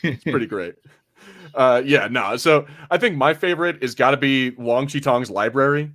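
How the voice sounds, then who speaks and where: Man, average pitch, podcast host, informal fan chatter, sweary, thinks out loud. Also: moderate pace. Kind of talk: casual fan chat.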